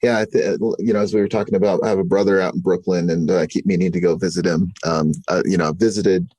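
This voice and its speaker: Man, podcast host, very low voice, dark tone, relaxed, filler-heavy. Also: fast talker, no room sound. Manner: relaxed, filler-heavy, says "you know" a lot. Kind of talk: casual interview chat.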